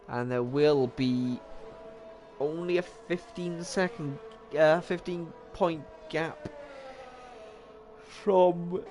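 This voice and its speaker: A young Welsh man giving live, chatty commentary on an online racing league, often joking at his own expense and correcting himself. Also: a little high. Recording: steady background noise.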